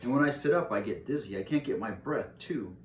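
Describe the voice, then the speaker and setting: A man's voice: mid-range voice, a little fast, pitched above the performer's own voice, natural voice. A man voicing a frail, sick little boy mouse, meek and polite, in a storybook reading. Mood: weak, polite. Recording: roomy echo, clear background hiss.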